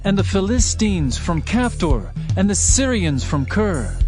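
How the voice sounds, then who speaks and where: A man's voice: sits high, sing-song, unhurried, music in the background. A man narrating a dramatized scripture reading in a solemn, declamatory manner.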